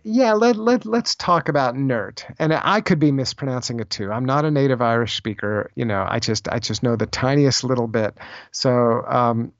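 Gravelly man explaining thoughtfully in conversation. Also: mid-range voice, no echo. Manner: halting, thoughtful, repeats phrases as he explains. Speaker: podcast guest, author on Celtic spirituality. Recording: slightly dull, lo-fi audio.

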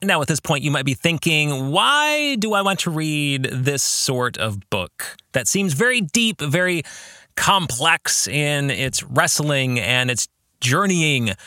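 A man delivers a reflective solo podcast monologue in a bright, fairly high voice. His pitch moves around a lot, and he breaks his phrases with emphatic pauses. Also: no echo, clean studio sound. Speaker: podcast host and fiction author.

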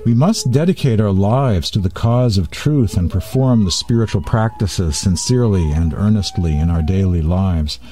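A man with a fairly low-pitched, soft-edged and relaxed voice presents a spiritual program. He reads and explains devotional texts deliberately, pausing between phrases. Faint music runs underneath his voice. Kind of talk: reading and commenting on spiritual texts.

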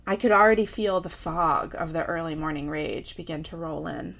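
A woman in her thirties with an American accent, a writer reading her own personal essay aloud in a measured, expressive storytelling way. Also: low-pitched, creaky.

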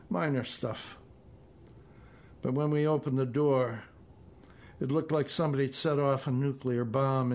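A male narrator reads as an older retired military man in a short story. He is quiet-spoken and measured, with a weary, resigned temper. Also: medium pitch, lightly acted.

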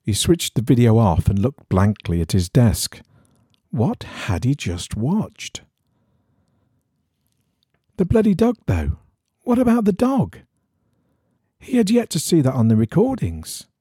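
A man reading an eerie horror story in a measured, suspenseful storytelling manner. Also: medium pitch, wildly swooping pitch, mellow, husky, no room sound, clean studio sound. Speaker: story reader.

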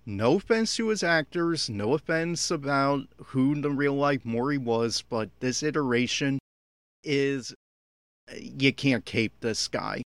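A man delivers off-the-cuff commentary with a critical, exasperated edge. His voice sits at a middling pitch and is recorded close and dry, with very little room sound. He speaks as an opinionated podcaster reacting to what he watches. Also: slow, dry recording. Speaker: podcaster giving episode commentary. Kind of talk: unscripted audio commentary on an anime episode.

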